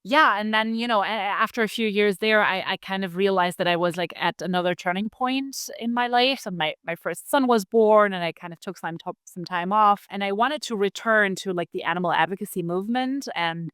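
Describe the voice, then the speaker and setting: A woman's voice: medium pitch, fairly quick, hardly any pauses, fairly bright tone, clean tone, dry recording, clean studio sound. A woman in her thirties with a German accent, a guest talking casually in an interview, full of "like" and "you know". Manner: chatty, many fillers like "like" and "you know".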